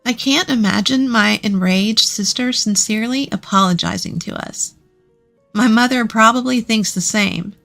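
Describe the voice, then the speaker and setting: A woman's voice: on the high side, moderate pace, vocal fry, fairly bright tone, faint music behind. A woman reading a scripted first-person story as its narrator.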